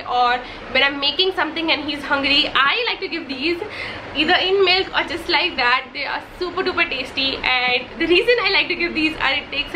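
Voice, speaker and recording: A woman's voice: high voice, noisy background. A young woman whose first language is Hindi, a mother chatting enthusiastically about favourite baby products, with many fillers and restarts. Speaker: vlogger, mother. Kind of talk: casual product favourites vlog.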